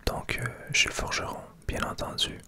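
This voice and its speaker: A man with a Québécois French accent whispering softly and unhurriedly to viewers in an ASMR gameplay commentary. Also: moderate pace. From Quebec. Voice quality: soft unvoiced whisper. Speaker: video game streamer making ASMR gameplay videos.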